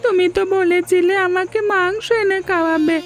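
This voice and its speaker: A sick little village boy in a cartoon, pleading plaintively. The voice is extremely high and childlike, with faint music underneath. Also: fairly even intonation, normal speed. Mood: plaintive, eager. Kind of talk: Bengali cartoon dialogue.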